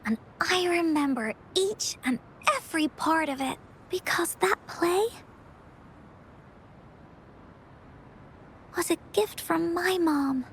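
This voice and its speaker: A woman voicing a cheerful, imaginative young girl who tells adventure stories in an expressive, childlike way for a video game. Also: extremely high pitch, measured pace, slight background noise. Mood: proud, playful. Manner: childlike, expressive, storybook-style narration. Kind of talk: video game voice acting, storytelling.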